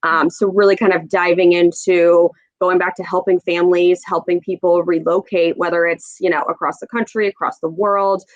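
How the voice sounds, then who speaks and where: A woman's voice: lowish voice, flat delivery, normal speed, few pauses, no room sound, highs cut off. A woman in her thirties from Oregon, a real estate executive, talking about her career as a podcast guest in a friendly, upbeat way. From Oregon, USA. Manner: friendly, upbeat, laughs easily. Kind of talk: conversational interview.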